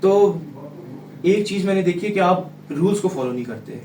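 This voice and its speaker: A Pakistani young man in his late teens gives earnest, hesitant interview answers, dropping in English terms. His voice is fairly high. It sounds echoey and a little far from the mic, with faint noise. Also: animated, moderate pace, mellow. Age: teens, about sixteen or seventeen. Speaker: student guest. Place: radio studio.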